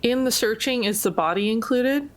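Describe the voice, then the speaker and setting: A woman's voice: fairly high pitch, moderate pace. A woman chatting casually as a player in a tabletop roleplaying game.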